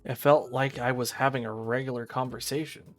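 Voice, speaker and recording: A male streamer reads a visual novel aloud in an average-pitched voice. He narrates the text and trips over words now and then, with game music playing behind him. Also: steady tone, fairly quick. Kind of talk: narration read aloud on a livestream, with stumbles and asides.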